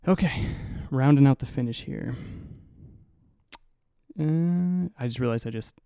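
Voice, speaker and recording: A young man hosting a solo podcast in a low, mumbly voice, rambling and swearing casually, right up on the mic. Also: unhurried, creaky, dark tone, smooth, dry recording, clean studio sound. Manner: rambling, profane, self-mocking. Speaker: podcast host. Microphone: close to the mic.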